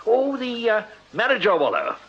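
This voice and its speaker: A breezy upper-class English army major from Victorian times. The voice is highish and swoops about, bright and clipped, with slightly dull, noisy radio sound. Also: male voice, wildly swooping pitch, normal speed, slightly rough, heavily acted. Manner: clipped sentences, blustery. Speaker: actor. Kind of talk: British radio drama acting.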